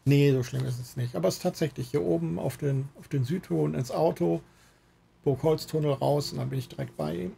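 Man, gravelly fry, mellow tone, casual livestream host, gaming chat. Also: fairly high pitch, a little fast. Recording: faint background noise.

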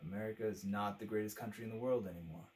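Guy acting out a fed up male pundit, brutally frank, sarcastic, preachy speech, mid pitched voice. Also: expressive, fast, lightly acted. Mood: wistful, solemn. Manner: biting, sarcastic, rhetorical, emphatic. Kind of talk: dramatic monologue performance.

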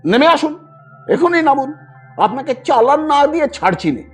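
A man's voice: extremely high pitch, big pitch swings, normal speed, soft background music, voice pushed up. A man voicing a stubborn, indignant young railway ticket collector in an audio story, with urgent delivery. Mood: angry. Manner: loud, urgent calling out.

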